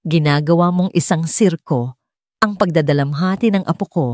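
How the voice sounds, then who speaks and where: A woman's voice: deep voice, moderate pace, warm tone, no echo, clean studio sound, natural voice. A woman with a Filipino accent voicing a rich, domineering old mother-in-law in an audiobook drama, scolding and imperious. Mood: indignant.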